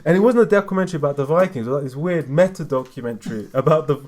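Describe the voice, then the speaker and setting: A British man chatting on a podcast, voice sitting a little high for a man. He rambles through long tangents in an animated way, laughing often as he goes. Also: racing. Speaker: podcast co-host. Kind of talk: casual chat.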